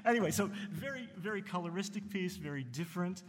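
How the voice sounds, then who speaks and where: A man from New Jersey introducing pieces at a concert, talking haltingly with many fillers and restarts, and enthusiastic about the music. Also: high voice, lively intonation. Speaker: concert host. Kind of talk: casual spoken introduction between concert pieces. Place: concert hall with audience. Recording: long hall reverb.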